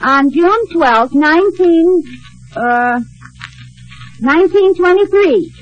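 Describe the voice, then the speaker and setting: An actress playing a spirited, scheming wife in an old radio sitcom, with emphatic comic delivery. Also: female voice, very high-pitched, expressive, slow pace, heavily acted. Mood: playful, bossy. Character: spirited, scheming, playful young-middle-aged wife. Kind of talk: 1950s radio sitcom acting. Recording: muffled old radio recording, loud studio audience.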